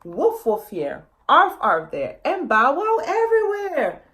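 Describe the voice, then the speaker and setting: Woman as loud singsong barking storybook animals. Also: sits high, huge pitch swings, pitched above the performer's own voice, exaggerated voice. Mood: calling out. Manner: singsong, drawn-out barking words. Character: animal families calling out in dog barks.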